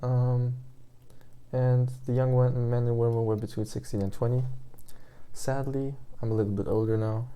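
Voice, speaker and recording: Gravelly-voiced young German man rambling. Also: mid-range voice, level tone, normal speed, mellow. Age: 20s. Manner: meandering, hesitant, many fillers and restarts. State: just woke up. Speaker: language learner vlogger. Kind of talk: rambling casual rant.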